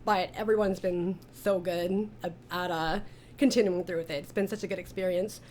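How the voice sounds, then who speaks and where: A woman's voice: fairly low pitch, fairly even intonation, moderate pace, a little mellow, slight background noise. A woman hosting an interview in a casual, chatty way. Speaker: interview host and audio drama creator. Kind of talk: casual online cast interview.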